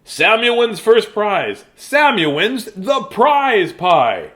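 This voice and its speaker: A man voicing a loud male judge at a pie-eating contest, bellowing out his announcements, in a read-aloud storybook. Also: high-pitched, sing-song, takes it slowly, pitched above the performer's own voice, full character voice. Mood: stern.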